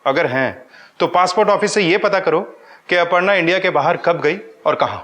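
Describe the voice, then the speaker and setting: An actor playing a stern senior police inspector in a TV crime drama, giving curt commands with authority. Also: male voice, high voice, fairly quick. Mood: stern, commanding. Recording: loud dramatic background music.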